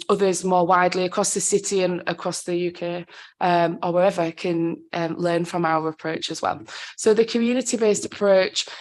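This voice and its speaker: Husky-voiced woman with a sore throat. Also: medium pitch, a little mellow. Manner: conversational, with frequent ums and self-corrections. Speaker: council digital inclusion officer. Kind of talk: presenting a report to a committee.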